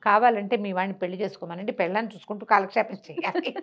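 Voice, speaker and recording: A storytelling woman voicing a bride's wary father, explaining himself softly and apologetically. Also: mid-range voice, fast talker, dull audio. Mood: soft, apologetic.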